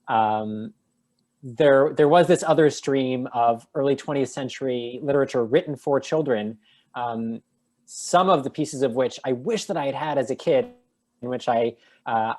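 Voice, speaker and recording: A man answering an interview question over a video call, thoughtful, with many pauses and restarts. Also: mid-range voice, darkish tone. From United States. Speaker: guest translator.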